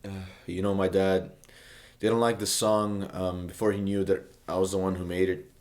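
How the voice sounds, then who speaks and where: A young man from Saudi Arabia whose first language is Arabic talks through the story behind his song. His voice sits a little low. He wanders from point to point, corrects himself, and fills pauses with "um" and "like" in a mock-earnest tone. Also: little pitch movement, a little mellow. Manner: meandering, self-correcting, many fillers, mock-earnest. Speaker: songwriter explaining his song. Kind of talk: casual rambling explanation.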